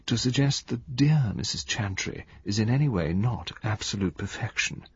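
A man voicing a sharp-tongued young woman who mutters contemptuously between her teeth, in a dull, dry recording. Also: mid-range voice, very animated, measured pace, breathy edge, no room sound, exaggerated voice. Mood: scornful.

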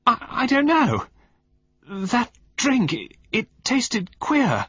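A man's voice: fairly high pitch, huge pitch swings, measured pace, vocal fry, a bit bright, breathy edge, no echo, voice pushed up, full character voice. A man voicing a glamorous, dramatic young society wife who gushes and later gasps for breath. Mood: gasping. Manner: gushing, breathless. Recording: dull lo-fi recording.

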